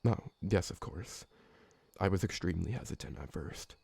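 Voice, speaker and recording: A man acting a polite, loyal vampire servant in an ASMR roleplay, speaking formally and deferentially with a gravelly, husky voice. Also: deep voice, animated, moderate pace, darkish tone, no echo, full character voice. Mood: earnest, reminiscing. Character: polite, loyal old vampire servant, fussy former bookkeeper, male.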